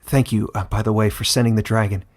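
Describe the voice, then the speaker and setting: A man's voice: on the low side, little pitch movement, fast talker, lightly acted. A man voicing an earnest, humble boy hero in a fantasy audiobook, speaking in a hesitant, sincere way. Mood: grateful, fond.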